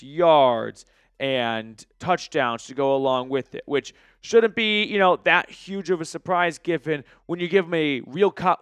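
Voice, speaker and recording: A man's voice: fairly high pitch, a bit bright, clear, resonant tone, no echo, studio quality. An American man hosting a sports show, giving opinionated, emphatic commentary point by point in a conversational style aimed at viewers.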